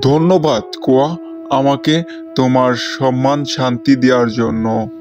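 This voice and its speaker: A man's voice: medium pitch, steady tone, unhurried, music in the background, pitched below the performer's own voice, close to an everyday voice. A man voicing a simple, earnest village lad in a cartoon fable. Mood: grateful. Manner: chanting, repetitive, earnest.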